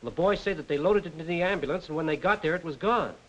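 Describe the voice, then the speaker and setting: A man's voice: a little high, expressive, fast talker, highs cut off. An actor playing a harried, obedient official who reports to his bosses, in a 1940s film. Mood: reporting. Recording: hissy old 1940s film soundtrack.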